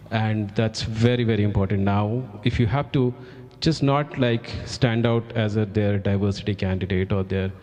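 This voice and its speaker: A man's voice: lowish voice, mellow. A man whose first language is Hindi, a journalist on a festival panel earnestly urging young reporters. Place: festival hall. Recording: long hall reverb.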